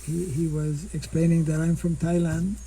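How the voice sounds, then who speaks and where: An older monk giving an informal scripture class outdoors into a stand mic, speaking slowly and haltingly and clearing his throat often. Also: fairly high pitch, level tone, mellow, low-quality recording. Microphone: stand mic in front.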